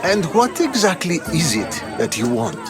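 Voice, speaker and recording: A dignified, weary Greek politician in a game cutscene. His fairly high voice moves expressively and formally, with loud music and crowd noise behind it. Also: very animated, moderate pace. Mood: businesslike. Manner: articulate, formal. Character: wry, weary, dignified male Athenian statesman. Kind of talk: dramatic video game acting.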